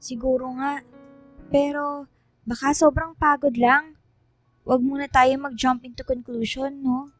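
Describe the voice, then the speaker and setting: A woman's voice: high voice, steady tone, measured pace, pauses often, faint music behind. A woman voicing a sympathetic, level-headed teenage schoolgirl in a casual radio drama conversation.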